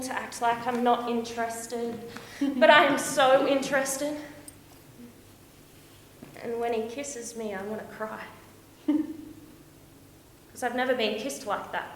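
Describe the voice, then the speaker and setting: A woman performing a stage monologue as a young, romantic, homesick backpacker, confiding and expressive with dramatic pauses. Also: fairly high pitch. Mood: excited, smitten. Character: young Australian woman backpacker, dreamy, lovestruck, heartbroken, homesick. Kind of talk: theatrical stage monologue, storytelling to an audience. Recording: echoey room with faint noise.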